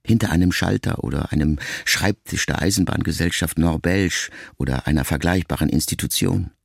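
A man acting in a literary radio play as a grown, middle-aged son who quietly and introspectively addresses his mother in measured phrases. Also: low-pitched, creaky, slightly rough, no echo, clean studio sound, full character voice. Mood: questioning, faintly reproachful. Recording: music behind the voice. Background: music.

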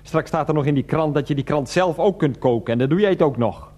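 A man's voice: fairly high pitch, fast talker, a little mellow, natural voice. A man playing a grumpy, sarcastic father in a radio comedy drama, blustering and coarse in his talk. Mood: grumbling. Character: grumpy, exasperated, sarcastic father fed up with health food.

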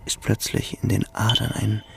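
An actor playing a haunted soldier who narrates a radio play in an intimate, reflective way. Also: male voice, fairly low pitch, level tone, normal speed, creaky. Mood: brooding, tense.

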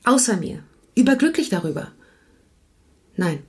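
An actress playing the weary, frank wife of a struggling writer in a radio play, speaking plainly in short measured phrases. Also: female voice, on the high side, big pitch swings, husky, full character voice.

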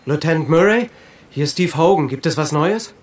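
An actor playing a subdued, polite husband in a radio play, speaking in terse phrases. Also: male voice, fairly high pitch, animated, normal speed. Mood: subdued, anxious. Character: subdued American husband awaiting news of his wife.

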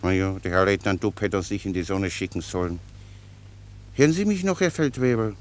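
An actor in a German radio play, playing a cheerful, long-winded common soldier who explains things pedantically with naive obedience. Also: male voice, sits low, expressive, a little fast. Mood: chatty, unruffled. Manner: chatty, rambling, pedantically explanatory.

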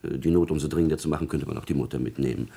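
An actor playing a servile, scheming court secretary in a classical radio drama, insinuating and calculating in a formal, obsequious manner. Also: male voice, very deep voice, racing, vocal fry, mellow, slightly rough, heavily acted. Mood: coldly calculating.

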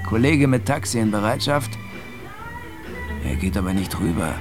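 An actor playing a wary city cab driver in a German radio drama. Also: male voice, lowish voice, expressive, a little slow, over music. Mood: watchful reporting.

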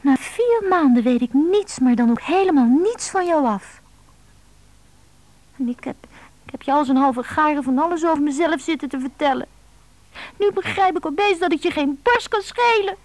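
A woman's voice: sits high, animated, normal speed, darkish tone, no echo, rough recording. An actress playing a needy, lovelorn woman pleading insistently on the telephone in a radio drama. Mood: agitated.

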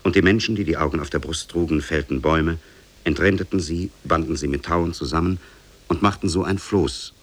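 A man with a low, husky voice tells a scripted fairy tale. His delivery is solemn and measured, in the old style of 1950s radio. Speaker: narrator.

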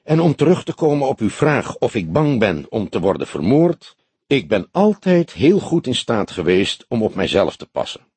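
A man voicing a self-assured young businessman in an audiobook, formal and measured, defending himself defiantly. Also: mid-range voice, big pitch swings, darkish tone, slightly rough, no room sound. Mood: confident, defiant. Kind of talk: Dutch audiobook character dialogue.

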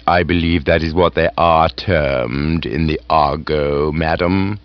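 A man reading aloud voices a calm, deferential male valet who speaks in a measured, formal way. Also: rumbling low, no room sound, voice pushed down, heavily acted. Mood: composed. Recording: dull, dry recording.

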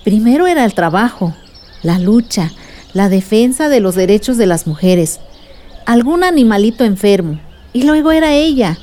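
An actress playing a caring aunt in a radio drama, patiently telling family history in a reassuring way. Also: female voice, medium pitch, animated, moderate pace, dark tone, close to an everyday voice.

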